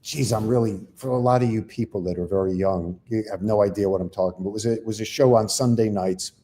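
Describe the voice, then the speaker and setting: Older man with a low, mellow voice. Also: fast talker. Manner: rambling anecdotal storyteller, self-deprecating. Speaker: comedian co-host. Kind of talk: casual chat and storytelling.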